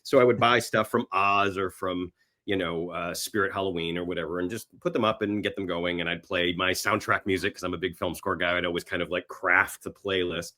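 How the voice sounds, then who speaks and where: A man's voice: low voice, fairly even intonation, a little fast, sharp tone. A man talking casually as a guest in a podcast interview, with a joking, self-deprecating manner. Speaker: actor and screenwriter.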